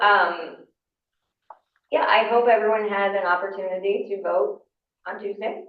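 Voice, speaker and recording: Hoarse woman lecturing in an echoey classroom. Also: medium pitch, fairly even intonation, a little slow, a little hiss or hum. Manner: conversational, explanatory, with frequent fillers. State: hoarse from a cold. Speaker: county election official giving a class. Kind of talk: informal lecture. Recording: echoey room, mic far off, dull sound.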